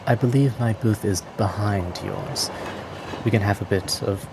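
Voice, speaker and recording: A man voicing a courteous, formal woman paladin in a tabletop game, measured and insistent. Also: fairly low pitch, vocal fry, noisy background. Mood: formal, earnest.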